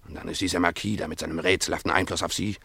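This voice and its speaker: Radio drama lead: lovesick young Russian, brooding, proud and self-abasing, husky low voice. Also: male voice, fairly even intonation, very fast, pushed and bright, no room sound, full character voice. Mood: bewildered. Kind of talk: German radio play acting.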